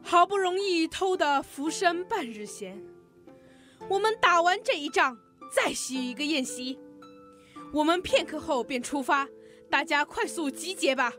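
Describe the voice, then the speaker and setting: A teenage girl plays a fearless, hearty ancient army general in a radio drama. The voice is high and the delivery is slow and declamatory. Faint music plays behind. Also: lively intonation, fairly bright tone. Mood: resolute.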